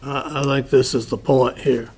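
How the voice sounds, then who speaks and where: A man chairing a formal board meeting, speaking in a curt, halting way. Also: medium pitch, level tone, a little mellow, slightly rough. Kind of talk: formal public meeting procedure. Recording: public meeting room recording.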